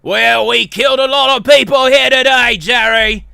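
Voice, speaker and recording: A man voicing a hot-headed, bombastic boss with a booming, commanding delivery. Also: steady tone, normal speed, vocal fry, sharp tone, clean tone, no echo, clean studio sound, exaggerated voice. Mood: brash, commanding.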